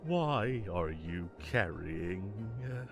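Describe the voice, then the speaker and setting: A man playing a mischievous, evasive fae king who is secretly fond, theatrical and halting when flustered, in an audio roleplay. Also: sits low, big pitch swings, music in the background, full character voice. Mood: feigned innocence. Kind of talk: scripted audio roleplay acting.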